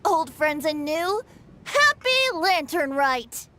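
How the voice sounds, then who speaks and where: A woman voicing a carefree young male bard in a video game, airy and flowery in speech, quick to giggle. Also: sits high, very animated, pushed and bright, exaggerated voice. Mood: playful.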